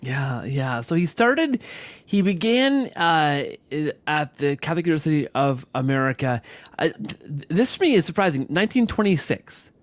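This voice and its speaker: A man's voice: a little high, sing-song, moderate pace, no echo. A man hosting a conversational podcast interview, effusive and wordy, often rephrasing himself mid-thought. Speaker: podcast host.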